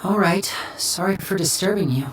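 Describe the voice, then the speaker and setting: A woman voicing a calm, courteous young male stage magician in video game dialogue. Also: fairly low pitch, normal speed, a little hiss or hum, lightly acted, rough recording. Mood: gentle, reassuring.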